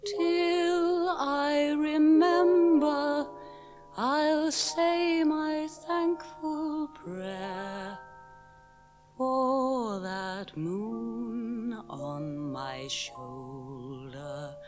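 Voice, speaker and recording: A woman singing a soft, wistful ballad as part of a radio play. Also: high-pitched, soft background music. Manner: soft, slow, sung. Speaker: singer.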